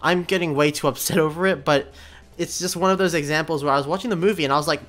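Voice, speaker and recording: A young man narrating a video review of a film as a voice-over, talking in an animated, incredulous way with little laughing asides. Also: a little high, fast talker. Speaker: YouTube commentator. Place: voice-over over gameplay footage.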